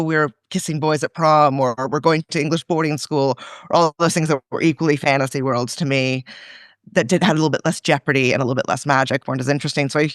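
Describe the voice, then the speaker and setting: A woman's voice: rumbling low, no room sound, clean studio sound. A woman with a Canadian accent, a guest author talking casually in an interview, chatty and laughing often, with many fillers and restarts. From Canada and France.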